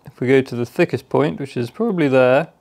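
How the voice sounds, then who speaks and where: Man, mid pitch, gravelly, casual how-to narration, home workshop. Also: brisk. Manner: relaxed, practical, thinking aloud step by step. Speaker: DIY workshop host. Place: cluttered home workshop, indoors.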